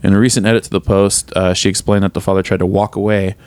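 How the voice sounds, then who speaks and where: A man's voice: sits low, flat delivery, fast talker, a little mellow, clear, resonant tone, no echo. A man hosting a casual podcast, swearing often and reading a story aloud with many fillers. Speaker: podcast host.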